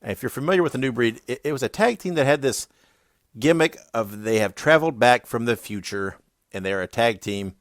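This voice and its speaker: A man's voice: mid-range voice, lively intonation, fairly quick, dry recording, clean studio sound. A man hosting a wrestling podcast, chatty and laughing, sounding stuffy and congested. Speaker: podcast host. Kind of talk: casual podcast outro.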